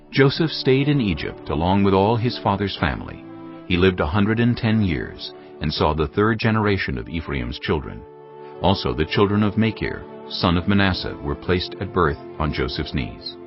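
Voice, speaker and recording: A man with a deep voice narrates scripture as a solemn story. His delivery moves widely in pitch, and music plays softly behind the slightly dull recording. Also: very animated, moderate pace.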